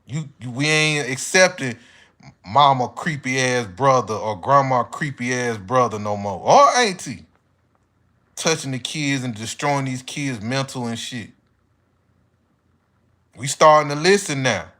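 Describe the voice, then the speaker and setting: A man's voice: mid-range voice, animated, measured pace, sharp tone. An American man giving a casual, opinionated monologue as an online commentator, speaking bluntly with heavy slang and swearing. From United States.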